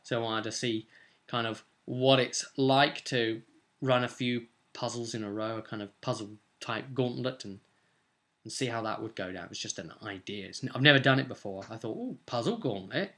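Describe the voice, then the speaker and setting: A man's voice: mid-range voice, normal speed, fairly bright tone. A British man in his twenties talking casually to camera in a rambling, conversational way as a game master, recorded in a room at home. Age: 20s. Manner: rambling, conversational, with tag questions. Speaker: game master recapping sessions as vlogger. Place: small indoor room at home.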